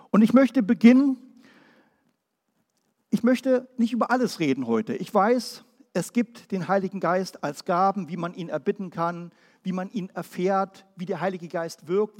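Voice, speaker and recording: A man from Berlin preaching a Pentecostal sermon, firing off rhetorical questions and then dropping quiet and low. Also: big-room sound. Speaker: pastor.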